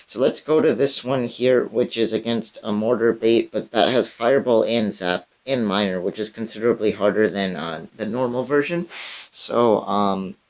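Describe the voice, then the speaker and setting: A young man talks through his game footage in a loose, chatty way, with plenty of ums and restarts. His voice is raspy and strained. He sounds like a gaming creator narrating his own match. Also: fairly low pitch, moderate pace, low-quality recording. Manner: casual, many fillers and restarts. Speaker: gaming YouTuber. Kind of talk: casual gameplay commentary.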